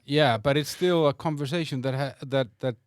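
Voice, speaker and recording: A man's voice: mid-range voice, normal speed, smooth, dry recording, clean studio sound. A man from Iceland, hosting a podcast, who argues in a hesitant, reasoned way and often repeats words. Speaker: podcast host. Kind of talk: casual debate chat.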